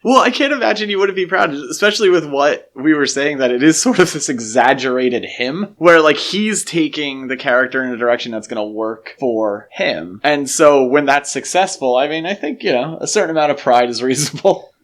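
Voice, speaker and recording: A man's voice: on the high side, expressive, fairly quick, few pauses. Man co-hosting a casual podcast. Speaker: podcast co-host.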